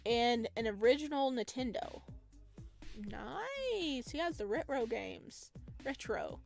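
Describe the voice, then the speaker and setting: Expressive woman reading a game aloud, higher-pitched. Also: slow pace, gravelly, faint music behind. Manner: expressive, chatty asides. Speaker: let's play host reading a visual novel aloud. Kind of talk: reading narration aloud with casual reaction commentary.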